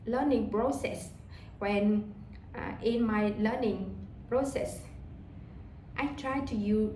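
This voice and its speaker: A woman from Vietnam with a Vietnamese accent, talking casually in English about how she learns it, halting and often repeating words as she searches for them. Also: on the high side, darkish tone, reverberant, slight background noise, poor audio.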